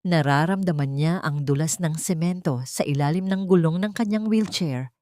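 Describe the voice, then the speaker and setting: A woman narrates a melodramatic audiobook in a somewhat low voice. The delivery is solemn and suspenseful, and the recording is dry and close. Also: moderate pace, mellow, no room sound. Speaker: story narrator. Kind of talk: scripted dramatic audiobook narration.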